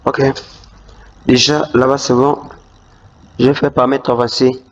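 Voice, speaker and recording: A man's voice: medium pitch, little pitch movement, a little slow, slight background noise. A man hosting a screen-recorded tutorial walkthrough, talking hesitantly with many fillers and restarts. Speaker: YouTube tutorial host. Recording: dull lo-fi voice-over.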